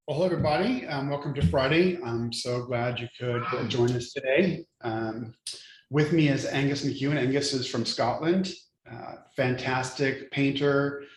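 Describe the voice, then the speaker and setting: A man's voice: medium pitch, reverberant, a little hiss or hum, rough recording. A man hosting a live interview over a video call, speaking in a friendly, hesitant way with many ums. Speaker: host. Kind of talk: casual interview chat.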